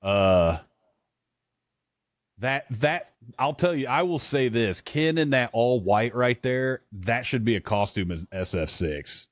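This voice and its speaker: A man's voice: medium pitch, expressive, takes it slowly, dry recording, clean studio sound. A man chatting casually as a podcast co-host, rambling and bluntly swearing as he reacts to what he watches. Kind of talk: casual chat reacting to trailers.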